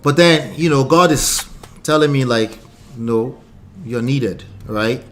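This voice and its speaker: A man's voice: medium pitch, slow pace. A middle-aged man from Nigeria with an accent mixing Nigerian and American tones, a relationship coach chatting casually and laughing often. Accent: Nigerian, mixed with American. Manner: animated, laughs often, repeats words. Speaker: relationship coach and show host. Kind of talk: casual podcast chat.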